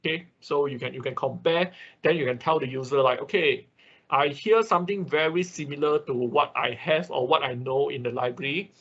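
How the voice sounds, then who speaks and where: A man who is a non-native English speaker with an accent, teaching an informal online technical class in a husky voice, explaining step by step with frequent check words. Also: fairly high pitch, brisk, fairly bright tone. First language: not English. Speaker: instructor. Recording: dull, lo-fi online class audio.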